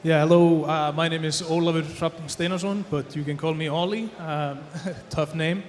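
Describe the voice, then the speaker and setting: A man's voice: on the high side, fairly even intonation, moderate pace. A man, friendly as he introduces himself on a panel. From Iceland. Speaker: association chairman. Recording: reverberant hall.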